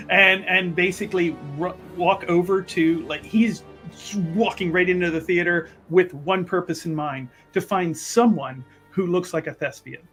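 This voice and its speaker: A man's voice: sits high, fairly bright tone. A man playing a tabletop roleplaying game, casually describing what his character does.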